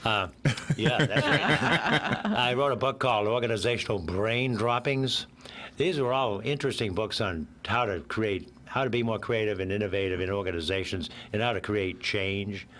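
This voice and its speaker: An older man talks in a low, hoarse voice, still getting over a cold. He rambles a little and keeps slipping jokes into his answers, laughing at his own lines. There is a faint hiss underneath. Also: moderate pace, a bit bright. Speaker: author and interview guest. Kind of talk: casual radio interview.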